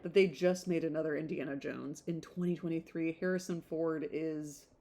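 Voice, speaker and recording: A woman with a slightly low-pitched voice reads aloud as a podcast host. Her delivery is informal audiobook style, mixed with chatty, rambling asides addressed to the listener. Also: fairly even intonation, normal speed, mellow. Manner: conversational, rambling off on tangents in asides. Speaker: podcast host reading a classic novel aloud.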